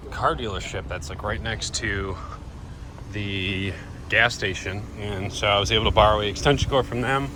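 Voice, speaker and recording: An American man giving casual, laid-back, matter-of-fact vlog commentary from his van. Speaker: vlogger. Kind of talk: casual running vlog commentary while driving. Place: inside and around an old van. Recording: very noisy car interior, engine rumble.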